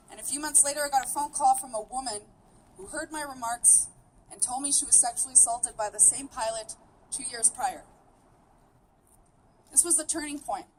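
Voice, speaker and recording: A high-pitched woman in her thirties, whose was Greek, delivers a steady, determined speech outdoors. The mic sits well away from her, and a faint hiss runs underneath. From Canada. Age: 30s. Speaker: rally speaker. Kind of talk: prepared public speech. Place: outdoor rally. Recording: voice picked up from a distance.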